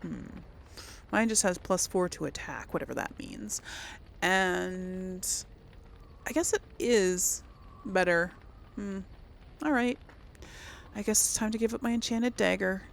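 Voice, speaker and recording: A woman gives relaxed, rambling game commentary at a slow pace. Her voice has plenty of creak, and she thinks aloud as she goes. Faint noise and game ambience sit behind her. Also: medium pitch. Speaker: gaming video host. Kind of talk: casual let's play commentary.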